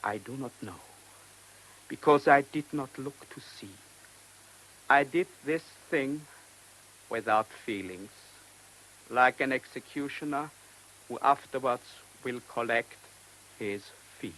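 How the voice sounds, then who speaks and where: Suave, mocking German avenger, bright voice. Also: male voice, medium pitch, slow pace, long pauses, low-quality recording. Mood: grave, measured. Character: cunning German-speaking man, a mocking, self-assured confessed avenger. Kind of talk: radio drama acting. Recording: hissy old radio play recording.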